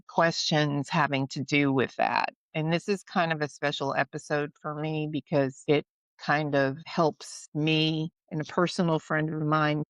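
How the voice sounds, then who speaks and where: Podcast hostess over faint music. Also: female voice, deep voice, fairly bright tone, clean tone, dry recording. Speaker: podcast host. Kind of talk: podcast hosting.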